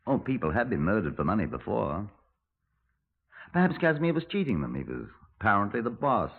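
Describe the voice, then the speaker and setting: An actor playing a world-weary middle-aged police superintendent in a 1950s radio crime drama, speaking in a dry, deliberate, formal way. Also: male voice, on the low side, big pitch swings, gravelly, breathy edge, exaggerated voice. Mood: musing. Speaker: detective and narrator. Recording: muffled, narrow-band 1950s radio recording.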